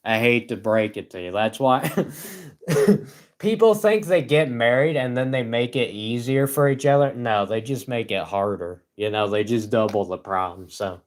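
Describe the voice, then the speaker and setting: A young man with a gruff voice, rambling cynically on his livestream and laughing between points. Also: medium pitch, normal speed. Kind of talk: casual solo livestream rant.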